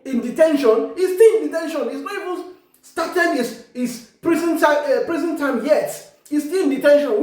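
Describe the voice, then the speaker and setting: Emphatic Yoruba-accented woman, very echoey room. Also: high voice. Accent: Nigerian Yoruba. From Ekiti, Nigeria. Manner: emphatic, repeats words and restarts often. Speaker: online commentator. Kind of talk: casual opinion monologue.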